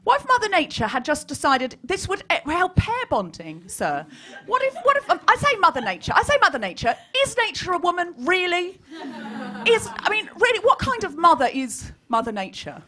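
A woman comedian with a high-pitched, swooping voice performs to a live audience. She is animated and mock-serious, playing up every point as a podcast host. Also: moderate pace. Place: small live comedy venue. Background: audience laughter.

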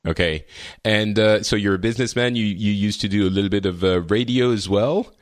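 A man hosting a chat podcast with a fairly low voice, speaking hesitantly and padding his sentences with filler sounds. The recording is dry and close, with no room echo. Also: fairly quick. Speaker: podcast host.

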